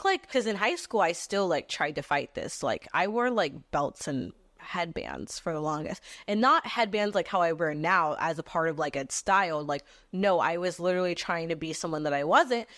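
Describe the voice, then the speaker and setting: A woman in her twenties from Texas hosting a podcast, talking in an animated, casual monologue that moves between a squeaky voice and a lower one, with frequent casual swearing. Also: dry recording, studio quality. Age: 20s. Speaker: podcast host.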